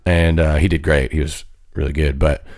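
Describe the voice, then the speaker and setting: A man with a very deep voice chatting casually about singing gigs, in a laid-back, drawling way full of "like" and "you know". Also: level tone, normal speed, darkish tone, dry recording, clean studio sound. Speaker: country singer, guest. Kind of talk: casual chat.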